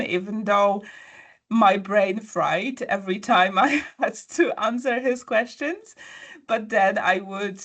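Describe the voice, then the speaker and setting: A woman's voice: mid-range voice, a bit bright. A woman guest, telling a personal story in a conversational interview with many fillers. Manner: storytelling, frequent fillers and restarts.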